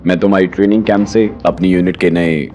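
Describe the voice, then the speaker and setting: Man acting deep-voiced imposing army general. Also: steady tone, a little fast, a little mellow, faint music behind, lightly acted. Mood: authoritative. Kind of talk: audio drama acting.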